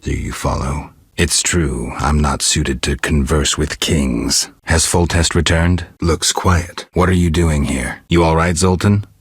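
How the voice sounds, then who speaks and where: An actor playing a gruff, world-weary monster hunter in a video game, terse and deadpan, with a gravelly, husky voice. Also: male voice, unhurried, few pauses, no room sound, studio quality, full character voice. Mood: dry, businesslike. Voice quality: very deep, gravelly, husky rasp. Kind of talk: video game dialogue acting.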